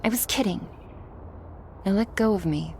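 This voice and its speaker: A woman's voice: mid-range voice, moderate pace, darkish tone, a little hiss or hum, lightly acted. A woman playing a sly, taunting, thirsty vampire outsider in a scripted audio drama, teasing and smug. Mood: menacing, teasing.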